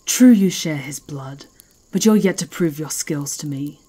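A fierce werewolf chieftess, acted by a woman for an ASMR fantasy roleplay. Her voice is low and husky, and she talks slowly, with proud, mocking authority. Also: dark tone. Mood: stern, proud. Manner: slow, taunting, imperious.

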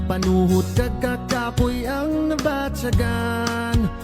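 A woman's voice: a little high, animated, slow pace. A woman with a Western Visayan Philippine accent delivering scripted announcements. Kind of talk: scripted announcement over music. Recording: loud music under the voice.

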